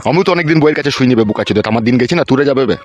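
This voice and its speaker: A man voicing a gruff, weary older village uncle in a comic cartoon, blunt and rustic in manner. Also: mid-range voice, very fast, darkish tone, soft background music, close to an everyday voice. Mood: bitter, blunt.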